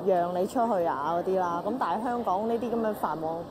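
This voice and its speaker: A woman speaking in a documentary interview, animated and dropping in English words. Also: mid-range voice, fast, background noise. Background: background music.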